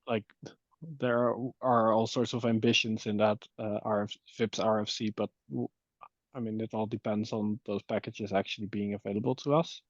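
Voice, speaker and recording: A man talking through an issue in a work meeting on an online call, with halting, hesitant phrasing. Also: on the low side, flat delivery, no echo. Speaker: committee member in a technical meeting. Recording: muffled, dull call audio.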